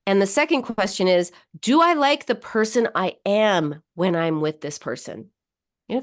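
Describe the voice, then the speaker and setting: A woman, slightly hoarse, hosting a weekly psychology radio show and giving advice in a chatty monologue with many fillers and restarts. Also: mid-range voice. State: slightly hoarse, losing her voice.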